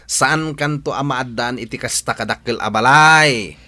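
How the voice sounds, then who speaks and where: A man's voice: mid-range voice, a little slow, pushed and bright, dry recording. A man acting in a comedic radio drama as a touchy, teasing village smoker, blustering in an Ilocano accent.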